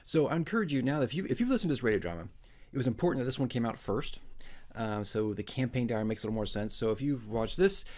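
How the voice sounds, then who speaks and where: A man's voice: mid-range voice, animated, fast talker, darkish tone. A man working as a dungeon master, presenting his fantasy radio drama. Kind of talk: dramatic narration and casual commentary.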